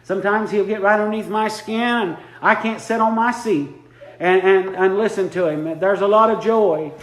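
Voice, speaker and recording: Preacher guy, high voice, repeats himself hard, echoey church. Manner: fervent, repeats lines for emphasis, drawn-out phrases. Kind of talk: impromptu sermon. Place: church sanctuary.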